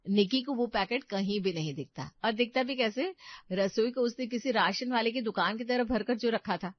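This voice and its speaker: Female story narrator, fairly high voice, scripted delivery, slightly muffled recording. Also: moderate pace, dry recording.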